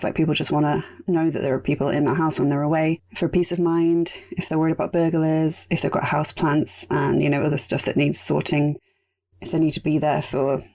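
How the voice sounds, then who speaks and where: A woman hosting a casual solo podcast, chatty and rambling, her voice going raspy. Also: deep voice, mellow. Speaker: podcast host.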